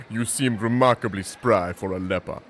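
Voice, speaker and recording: A man's voice: fairly low pitch, unhurried, a bit bright, a little hiss or hum. A man playing an unflappable guard with a dry, formal wit in an audio drama. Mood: wry amusement. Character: dry, unflappable male guard catching thieves.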